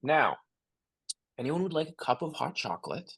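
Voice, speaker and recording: Table read role: an actor as an easygoing male teacher, cheerful classroom manner, very animated delivery. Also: mid-range voice, moderate pace, vocal fry, slightly rough, full character voice. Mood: upbeat. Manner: upbeat, coaxing classroom talk. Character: cheerful, easygoing male schoolteacher named Mister Doolin.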